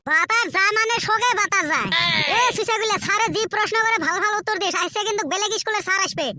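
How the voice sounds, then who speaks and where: Brash dim cartoon schoolkid, very high squeaky voice, rapid talk, comic backtalk. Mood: brash, bantering. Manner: brash backtalk in rustic village dialect. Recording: very noisy homemade cartoon dub.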